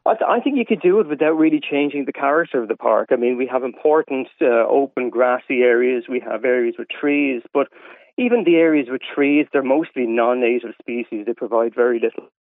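An Irish man speaking as a wildlife campaigner in a radio interview, measured and full of hesitant fillers. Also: mid-range voice, no echo. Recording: dry tinny phone line.

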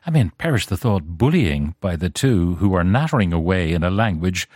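Chatty radio host, low dry voice. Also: male voice, animated, moderate pace, a little mellow, no room sound. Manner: chatty, laughing easily, many fillers. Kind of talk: radio studio chat. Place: radio studio.

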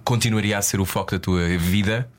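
A man's voice: lowish voice, steady tone, fairly quick. A man with a European Portuguese accent, hosting a conversational interview in a thoughtful, tentative way and mixing in English phrases. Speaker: interviewer, host.

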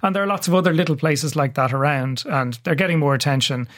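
Higher-voiced Dublin man explaining history. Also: a bit bright. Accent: Dublin Irish. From Ireland. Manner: measured, fact-heavy, frequent uh fillers. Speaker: curator, radio guest. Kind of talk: radio interview, explanatory.

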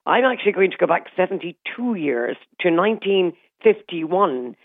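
A woman calling in for a castle hotel, telling its film history with animated excitement. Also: on the low side, normal speed. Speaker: caller, hotel representative. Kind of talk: phone interview. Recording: tinny phone line.

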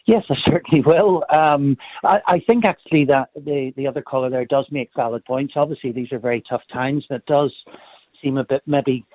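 Man, caller, measured, tinny phone line. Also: mid-range voice, no echo. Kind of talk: phone-in opinion.

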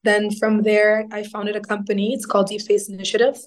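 This is a woman, giving interview answers in a steady, even tone that barely rises or falls. Her pitch sits in an ordinary middle range. She explains things earnestly, step by step. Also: fairly quick. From Egypt. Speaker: guest astronaut.

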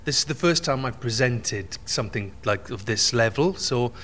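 Welsh guy, singer, jokey and self-deprecating, mid-pitch voice, faint hiss. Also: animated, normal speed, fairly bright tone. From Wales. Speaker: singer and presenter. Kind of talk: casual interview chat. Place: backstage room.

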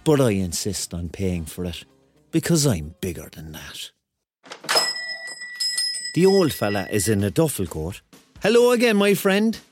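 A man's voice: fairly low pitch, very animated, a little slow, long pauses, darkish tone, faint music behind. An actor playing a touchy, defensive man of thirty in a radio drama, with a Cork accent and an indignant, rambling, repetitive manner. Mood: wry, casual. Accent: Cork Irish. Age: 30s. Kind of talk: radio drama monologue and dialogue.

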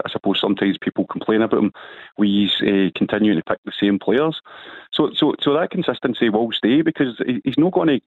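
A man's voice: lowish voice, no echo, phone-quality sound. A man giving football punditry, hesitant with many restarts.

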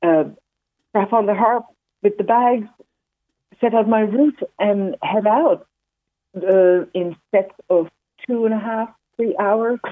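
A woman's voice: mid-range voice, slow pace, long pauses, dry recording. A woman from the Netherlands, a walking pilgrim, talking in a phone interview with many fillers and restarts. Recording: tinny phone line.

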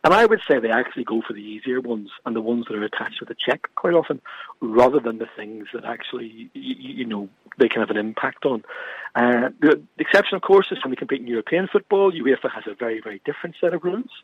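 A fast-talking, husky-voiced man making his case with real passion, stumbling into repeats as he pushes each point. He sounds like he is on a tinny phone line with a dry, close sound. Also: mid-range voice, no room sound. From from across the water from Scotland. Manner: passionate, emphatic, repeats words when pressing a point. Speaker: guest from an organisation. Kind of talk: radio talk show discussion.

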